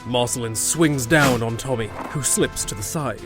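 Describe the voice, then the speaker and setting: Male narrator for fantasy audio drama: mid-pitched, scripted storytelling delivery over soft music. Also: normal speed.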